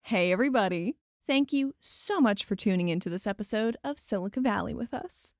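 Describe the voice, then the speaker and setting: A woman's voice: medium pitch, a little fast, no room sound, studio quality. A woman hosting a show, reading out thanks and credits in an informal, effusive way. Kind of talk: scripted podcast outro with thanks.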